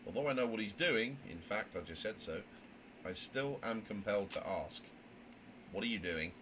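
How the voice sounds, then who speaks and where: A man's voice: on the low side, expressive, normal speed, lightly acted. Man acting out a flustered, indignant young trainee, noisy recording. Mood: wary, musing. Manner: earnest, flustered, stammering with indignation. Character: anxious, indignant, rule-bound young trainee mediator, Winter Harrison. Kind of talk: amateur voice acting of a visual novel.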